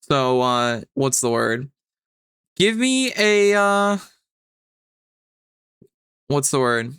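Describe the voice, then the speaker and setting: Male game master, bright and smooth, very dry close sound, explains rules off the cuff. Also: medium pitch, very animated, very slow, no room sound. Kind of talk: improvised tabletop game narration and rulings.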